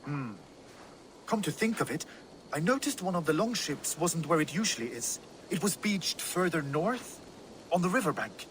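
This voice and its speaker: A man's voice: fairly high pitch, animated, normal speed, a bit bright, slight background noise, poor audio. A man playing a helpful Viking villager in a video game, reflective and a little hesitant. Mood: pensive. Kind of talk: video game dialogue acting.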